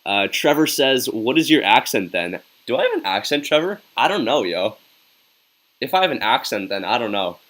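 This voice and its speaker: A man's voice: mid-range voice, big pitch swings, moderate pace, a bit bright. A young man hosting a casual live stream, reading viewer comments aloud in an upbeat, chatty way and often tossing in "yo". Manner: upbeat and chatty, says "yo" a lot, hypes up viewers. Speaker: live-stream host reacting to a hockey game.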